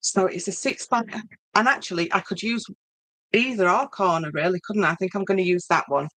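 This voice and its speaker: A woman's voice: lowish voice, a little fast, fairly bright tone, no room sound, rough recording. A woman with a Yorkshire accent hosting an informal craft demonstration, chatting to viewers and thinking aloud as she works. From Yorkshire, northern England. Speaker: craft tutorial host.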